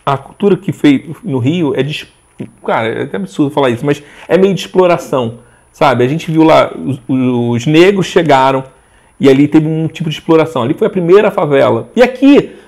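A man in his forties, a guest in a casual interview, talking in a rambling, animated way with frequent restarts. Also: on the high side, a little fast. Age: 40s. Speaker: interview guest, social media page owner.

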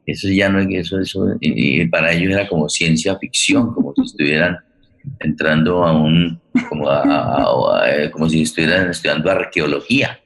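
A man with a Colombian accent hosting an unscripted interview, halting and repeating words as he searches for them. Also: very deep voice, fairly bright tone. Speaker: host of radio theatre forum.

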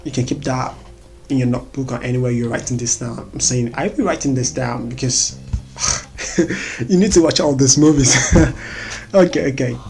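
An excitable vlogger with a Nigerian accent, chatting about movies to recommend. The talk bubbles along with frequent laughs and repeated words. Soft music plays faintly underneath at a middling pitch. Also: normal speed.

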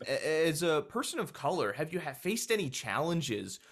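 A man's voice: a little high, very animated, normal speed, a bit bright. A man interviewing a doctor in a loose, casual way, with lots of "like" and "um" fillers. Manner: loose, many likes and fillers.

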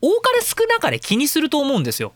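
A man hosting a casual, opinionated radio talk show, rambling along in an animated, theatrical and self-mocking way. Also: very high-pitched, quick pace, no room sound. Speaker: professional speaker and audio engineer.

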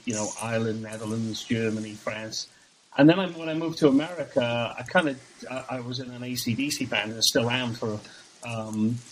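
A man's voice: mid-range voice, a little hiss or hum, low-quality recording. Chatty man, podcast guest. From Wales. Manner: chatty, laughs between anecdotes. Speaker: guest, tribute band singer. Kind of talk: casual podcast interview.

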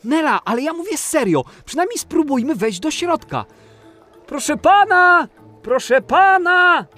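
Eager little boy in a kids radio play, high voice, super animated, cheeky. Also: a little slow, soft background music, exaggerated voice. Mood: excited. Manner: eager, blurting questions and exclamations. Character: excitable, mischievous little boy exploring a cathedral. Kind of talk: children's audio play acting.

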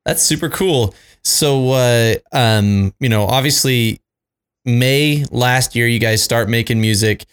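A man's voice: medium pitch, slow, clear, resonant tone. A man of thirty, hosting a casual interview and asking questions in a friendly, enthusiastic way. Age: 30s. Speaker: interviewer, podcast host.

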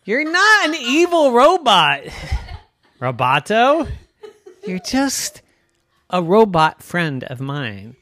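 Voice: medium pitch, sing-song, measured pace. A storyteller voicing an exasperated but kind young boy in a children's story. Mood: coaxing.

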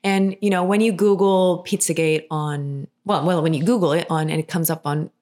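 A woman's voice: fairly low pitch, fairly even intonation, moderate pace, a little mellow. A woman from Finland, co-hosting a podcast in casual, rambling chat full of fillers and restarts. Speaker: podcast co-host.

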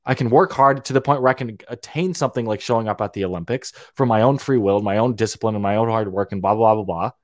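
A man's voice: lowish voice, fast talker, few pauses, no echo. Young man, podcast host, heated monologue with repeats and profanity; dry, close recording. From Romania. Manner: heated, ranting, repeats and restarts words, swears freely. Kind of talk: opinionated monologue.

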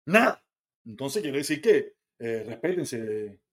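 A man's voice: medium pitch, big pitch swings, slow pace. A Cuban man hosting a live stream, reading viewer comments aloud and answering them bluntly, with frequent swearing. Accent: Cuban Spanish. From Cuba. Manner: blunt, argumentative, swears freely. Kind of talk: casual live stream chat.

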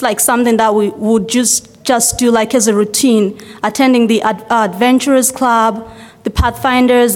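A young woman from Zimbabwe speaking to her congregation in a loose, chatty way, leaning on "like" and "uh". Her voice sits a little high. It carries the long reverb of a church hall. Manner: chatty, many fillers like "like" and "uh". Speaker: church member giving a testimony. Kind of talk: casual spoken testimony to a congregation.

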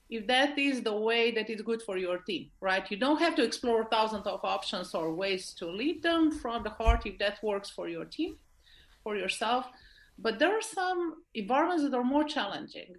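A woman from Slovenia with a Slovenian accent, answering in an informal interview style and often checking for agreement. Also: a little high. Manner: informal, often seeks agreement with tag questions. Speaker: interview guest, leadership expert.

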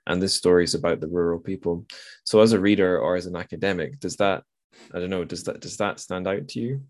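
A man with a low voice hosts a casual literary discussion. He is tentative and hedges as he thinks aloud. The sound is dry, with very little room to it. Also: fast, darkish tone, no echo. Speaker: podcast host.

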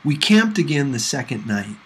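A man reading a novel aloud in an expressive storytelling style. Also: medium pitch, moderate pace, breathy edge. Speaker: audiobook reader.

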